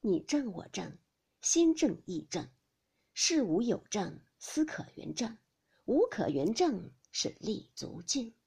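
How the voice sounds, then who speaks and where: A woman's voice: on the high side, sing-song, slow, vocal fry, darkish tone, husky, heavily acted. A woman voicing a moody, aloof, brooding teenage young master in an audiobook of a classical novel. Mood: solemn.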